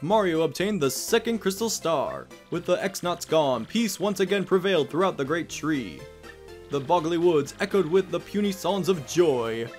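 A man playing a grand storybook narrator in a video game, declaiming deliberately. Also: a little high, natural voice.

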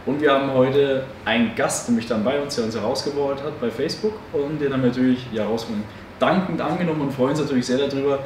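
A man's voice: mid-range voice, fast. A man in his thirties co-hosting, chatting casually with many fillers and restarts, in a hobby shop. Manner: rambling, many fillers and self-corrections. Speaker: co-host of a tabletop game channel. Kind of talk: casual chat introducing a battle report. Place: hobby game shop, indoors. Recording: echoey room, distant mic, faint hiss.

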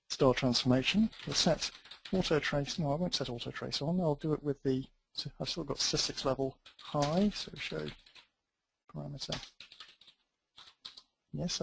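A man's voice: medium pitch, low-quality recording. A man teaching a database tutorial, thinking aloud as he types through a live demo with dry, self-deprecating asides. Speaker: database instructor.

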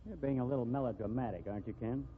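A man's voice: mid-range voice, very fast, a little hiss or hum, lightly acted. An actor playing a disapproving, well-dressed society man in a 1950s radio drama, skeptical and indignant. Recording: tinny 1950s radio recording.

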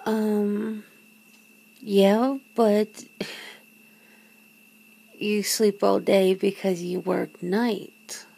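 Girlfriend role for intimate ASMR roleplay, female actor: nervous, disbelieving, teasing, halting delivery, unhurried, with vocal fry. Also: medium pitch, level tone, dark tone, slight background noise. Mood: hesitant, confused. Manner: halting, breathy sighs and chuckles, often dropping to a whisper. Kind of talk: ASMR roleplay, one side of an intimate conversation.

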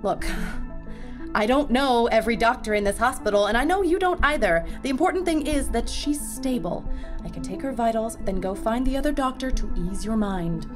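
An actress playing a defensive, sardonic hospital nurse in a horror audio drama, pointed and matter-of-fact. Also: female voice, a little high, lively intonation, normal speed, over music, natural voice.

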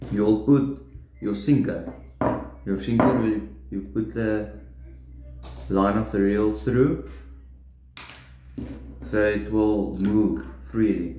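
A young man explaining step by step how to tie fishing tackle, in a halting, plain way while he works at a table indoors. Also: fairly low pitch, mellow. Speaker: fishing tutorial host. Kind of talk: step-by-step demonstration. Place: indoors at a wooden table, echoey room. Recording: roomy echo, faint room noise.

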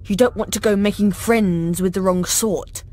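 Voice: mid-range voice, fairly even intonation, moderate pace, darkish tone, natural voice. A performer with a British accent playing a haughty schoolboy bully of about eleven in a video game, drawling and sneering. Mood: sneering, hostile. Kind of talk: video game character acting.

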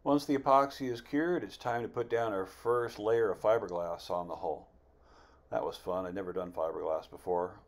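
A man in his fifties giving a casual, plain-spoken, unhurried voice-over as an amateur builder narrating his project. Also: lowish voice. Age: 50s.

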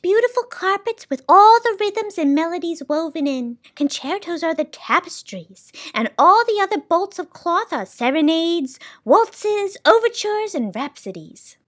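A woman's voice: very high voice, sing-song, a little slow, few pauses, pitched above the performer's own voice, heavily acted. A woman reading a storybook as a fussy, imperious, wistful female keeper of sounds, with dramatic, bossy emphasis. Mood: proud.

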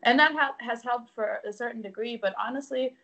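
A woman's voice: fairly high pitch, brisk, bright, forceful tone. A young woman with a German accent, a native German speaker from Germany, talking candidly and reflectively as a guest over a video call from home. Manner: open, reflective, candid storytelling. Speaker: musician guest. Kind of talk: casual interview chat. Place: indoors at home.

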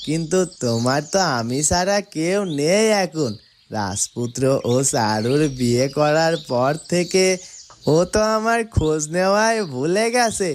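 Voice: medium pitch, lively intonation, unhurried, slight background noise, lightly acted. An actor voicing a friendly, slightly plaintive talking animal companion in a children's fairytale cartoon, chummy and earnest. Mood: affectionate, plaintive.

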